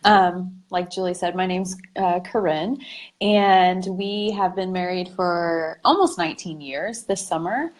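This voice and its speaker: A woman's voice: fairly low pitch, measured pace. A woman in her thirties from the American Midwest, with a Midwestern accent, talking about her family in a friendly, light way over a video call. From Midwest United States. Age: 30s.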